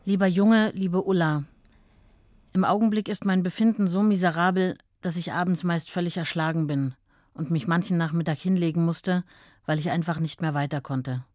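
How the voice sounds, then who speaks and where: A woman's voice: fairly low pitch, normal speed, a little mellow, no echo, natural voice. A woman reading a letter from an ailing older mother to her son in a tender, tired manner. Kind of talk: broadcast documentary reading.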